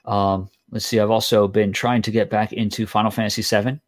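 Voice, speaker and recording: A man's voice: fairly low pitch, brisk. A man co-hosting a gaming podcast, chatting casually and hesitantly with frequent fillers. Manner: relaxed, hesitant, lots of ums. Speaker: podcast co-host.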